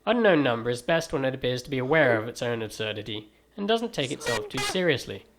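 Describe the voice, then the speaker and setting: Wry transatlantic man with lively delivery. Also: medium pitch, quick pace, a little hiss or hum. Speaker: video game reviewer. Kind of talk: scripted review narration.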